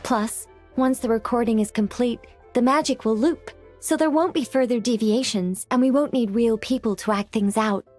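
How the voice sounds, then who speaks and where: A studious young female mage, played by a woman in an anime game. Her voice is slightly high, with measured, precise, formal phrasing, over faint music. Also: darkish tone, close to an everyday voice. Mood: earnest.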